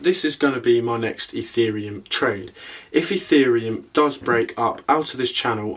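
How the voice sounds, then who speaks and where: A man presenting an explanatory market analysis commentary, talking through it step by step as the host. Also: medium pitch. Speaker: host of a crypto trading video.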